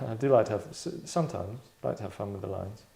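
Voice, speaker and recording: A man hosting and directing a table read, chatting casually in a tongue-in-cheek acting critique, deadpan, rambling and self-satisfied. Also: fairly low pitch, fast talker, creaky. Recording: roomy, echoey indoor sound.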